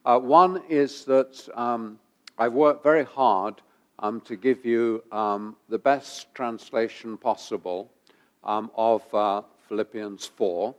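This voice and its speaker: A man preaching a sermon while he has a head cold, his voice gravelly, pausing often with ums and uhs and repeating phrases for emphasis. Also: medium pitch. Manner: halting, many ums and uhs. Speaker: pastor.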